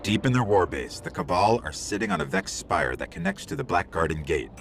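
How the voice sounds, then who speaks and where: Game robot guide: low register, gravelly edge, wry, clever, conversational delivery. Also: male voice, wildly swooping pitch, brisk, slight background noise, heavily acted. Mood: focused, urgent. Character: small floating robot companion, male, clever, wry, guiding. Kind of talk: scripted video game dialogue. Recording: loud music behind the voice. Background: loud music.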